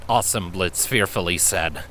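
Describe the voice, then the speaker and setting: A man reading a story as an audiobook narrator, with dramatic, suspenseful and deliberate phrasing. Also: low voice, sharp tone, slight background noise, poor audio.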